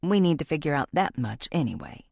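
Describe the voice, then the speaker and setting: A woman narrator acts out a deep-voiced male cop in a suspense audiobook. He is curt and dismissive, guarding secrets with scorn. The recording is dry and close. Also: sing-song, fairly quick, creaky, darkish tone, dry recording, exaggerated voice. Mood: guarded, scheming. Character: gruff, dismissive deep-voiced male police officer, conspiring.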